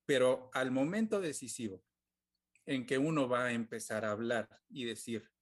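A man hosts a discussion panel. His voice sits at an average pitch. He tells a story and fills the gaps with frequent filler words. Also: animated, normal speed.

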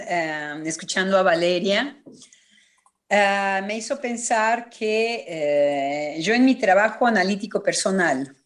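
A woman psychoanalyst speaking reflectively and personally on a panel, halting and repeating phrases for emphasis. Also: mid-range voice.

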